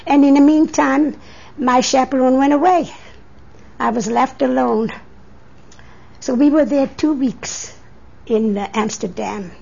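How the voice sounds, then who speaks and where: Elderly woman, Hungarian accent, high-pitched but gravelly, deliberate storytelling, dull 1980s tape interview. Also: darkish tone. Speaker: interviewee, immigrant. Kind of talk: oral history recollection.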